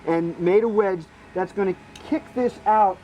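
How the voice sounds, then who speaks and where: A man's voice: sits high, unhurried, warm tone, muffled recording, slight background noise. A man giving casual, practical technical explanations outdoors as a hands-on expert. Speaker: boat rigger, expert guest. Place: outdoors on a boat.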